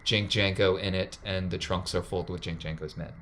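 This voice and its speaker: A man's voice: sits low, fairly even intonation, a little fast, a bit bright, slight background noise. A man narrating a tabletop role-playing game as game master in a casual, colloquial, wry way.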